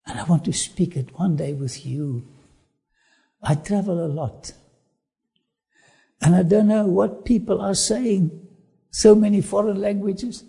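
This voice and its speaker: A man with an Afrikaans accent, whose first language is Afrikaans, presenting a travel documentary with sermon-like asides, in slow, emphatic, drawn-out phrasing. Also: high-pitched, creaky, mellow, slightly rough.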